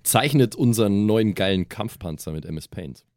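A man's voice: lowish voice, lively intonation, moderate pace, darkish tone, no echo. A man who plays and hosts on a tabletop podcast, talking in improvised role-play and casual banter. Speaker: player and podcast host.

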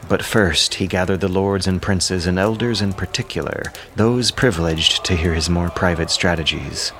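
A man giving measured, theatrical narration of an epic audio drama over music. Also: low-pitched, noisy background.

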